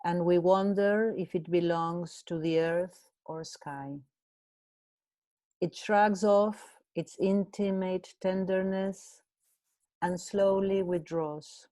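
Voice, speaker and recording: A woman with an Argentine accent, reading a translated poem aloud in a measured, deliberate way. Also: lowish voice, fairly even intonation, dark tone, no echo. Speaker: translation prize committee member.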